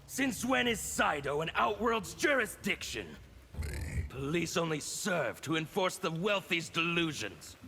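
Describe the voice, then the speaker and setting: A fanatical male agent of chaos for a fighting game. His voice is high for a man and swings wildly, with slow, grandiose, defiant delivery and a gravelly edge. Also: big pitch swings, pushed and bright, slight background noise, heavily acted. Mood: righteous anger. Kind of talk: theatrical fighting-game villain acting.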